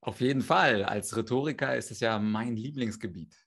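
Ein Mann aus der Ukraine, Rhetoriktrainer, der als Gast flüssig und erklärend spricht. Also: male voice, mid-range voice, moderate pace, creaky, a bit bright, slightly rough. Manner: fluent, explanatory. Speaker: rhetoric trainer, guest. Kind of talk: expert interview.

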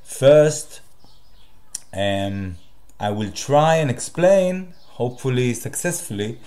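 Man, Hebrew accent, native Hebrew speaker, solo video host, slow unhurried pace, direct, halting. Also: mid-range voice, a little mellow. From Israel. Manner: direct, halting with many fillers. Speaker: channel host. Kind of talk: solo talk to camera.

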